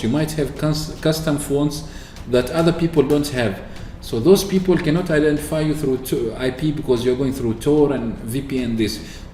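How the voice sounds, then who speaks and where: A man from Oman chatting casually through a software walkthrough, explaining with fillers and restarts. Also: medium pitch, a little hiss or hum. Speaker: tech video host. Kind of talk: screen-recorded software walkthrough. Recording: echoey room with faint ambience.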